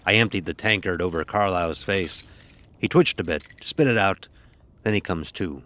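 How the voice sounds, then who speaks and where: Man playing a low-voiced stern ship's officer. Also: normal speed, a bit bright, a little hiss or hum. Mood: measured recounting. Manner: commanding, archaic nautical speech. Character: stern, gruff ship's officer recounting a sea tale. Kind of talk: first-person dramatic storytelling, audio horror.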